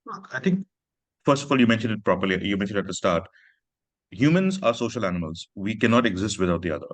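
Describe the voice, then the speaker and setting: A man's voice: lowish voice, very animated, no echo. A man from Pakistan, an author speaking earnestly in a reflective conversation. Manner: earnest, measured, personal storytelling.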